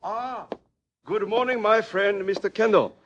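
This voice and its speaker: Casting: serene, shrewd Chinese mine owner, Chinese-accented formal English, fairly high voice, muffled vintage radio western. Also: male voice, a little hiss or hum. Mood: courteous, innocent. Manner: formal, courteous, deliberate broken English. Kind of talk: old-time radio western acting. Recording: muffled old radio recording.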